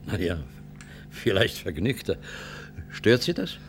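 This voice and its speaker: An actor playing a jovial, fatherly middle-aged space mission leader in a German radio play, calm and lightly ironic. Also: fairly low pitch, slow, faint music behind. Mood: calm, amused.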